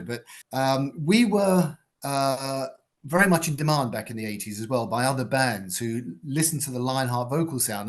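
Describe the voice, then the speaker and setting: An older man from Britain, a guitarist and producer, giving thoughtful, rambling interview answers in a fairly low voice with many fillers.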